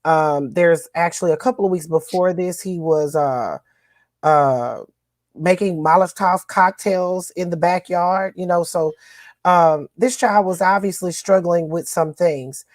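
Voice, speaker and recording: A woman's voice: lowish voice, unhurried, a little mellow, no room sound, clean studio sound. A woman co-hosting a podcast, recapping news in a chatty, folksy way with lots of fillers. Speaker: co-host.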